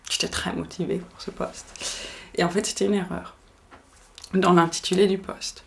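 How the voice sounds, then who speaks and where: Very creaky-voiced woman. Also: lowish voice, little pitch movement, fairly quick. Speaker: interviewee. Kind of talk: personal account in interview.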